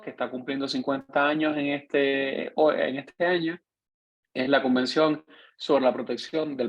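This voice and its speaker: A man with a Cuban accent teaches an online course with a husky, slightly rough voice. His explanations are patient and thorough, and he keeps turning back to his listeners to make sure they follow. The sound is dull and lo-fi, like audio from a video call. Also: fairly high pitch. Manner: explanatory, checks in with listeners by tagging questions. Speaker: course instructor. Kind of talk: online lecture.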